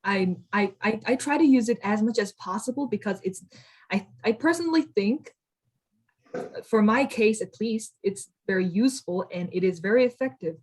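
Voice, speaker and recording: A young university student answers a question at a conference. She speaks earnestly and mostly fluently, with the odd stammered restart. Her pitch sits at an ordinary middle level. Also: lively intonation, moderate pace, a little mellow, slightly rough. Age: young adult. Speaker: student panelist.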